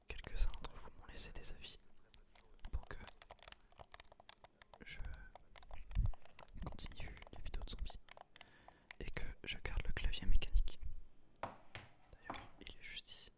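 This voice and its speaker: Whispering man, ASMR gameplay commentary. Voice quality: breathy whisper. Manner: casual, explanatory. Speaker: gaming video creator.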